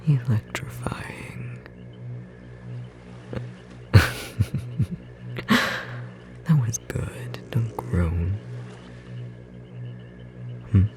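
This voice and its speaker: A performer voicing a tender magical bookstore clerk in an ASMR roleplay, whispering softly and gently encouraging a beloved partner, with a slightly rough edge. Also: mid-range voice, heavily acted. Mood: playful, flirty. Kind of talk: ASMR roleplay, intimate whispered second-person acting.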